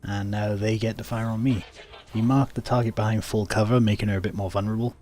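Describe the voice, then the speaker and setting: A low-pitched man talks through his own gameplay in a casual, matter-of-fact manner. He explains his moves step by step, with music playing behind his voice. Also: fairly even intonation, fast talker, darkish tone. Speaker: gamer narrating his own playthrough. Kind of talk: casual game commentary, recounting moves.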